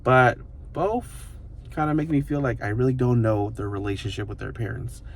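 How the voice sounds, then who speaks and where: A man's voice: mid-range voice, moderate pace, vocal fry. A man in his mid-thirties talking to his viewers in a loose, rambling, reflective way, full of fillers. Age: 30s. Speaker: vlogger. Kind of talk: casual reflective monologue to the camera.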